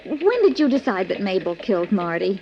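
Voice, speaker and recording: A woman's voice: medium pitch, big pitch swings, brisk, music in the background. A woman playing a dreamy, playfully curious sweetheart in a 1940s radio crime drama, coy in manner. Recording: muffled vintage 1940s radio recording.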